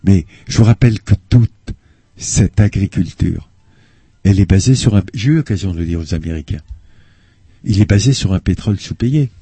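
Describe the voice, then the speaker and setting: Older male radio guest, spokesman type; low, husky, deliberate, dry mic. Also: expressive, mellow, dry recording. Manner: measured, hesitant with frequent "euh", explanatory. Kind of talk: earnest radio interview answers. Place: radio studio. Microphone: studio microphone.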